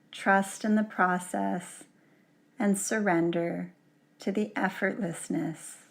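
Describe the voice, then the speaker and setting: A woman yoga teacher leading a guided relaxation in a gentle, soothing manner, leaving long pauses between short phrases. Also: medium pitch. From Canada.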